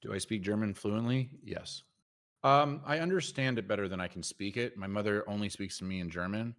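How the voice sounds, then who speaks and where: A man's voice: on the low side, a little fast, a bit bright. A man co-hosting a live trading stream, chatting casually and trash-talking viewers with blunt teasing. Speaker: co-host trader.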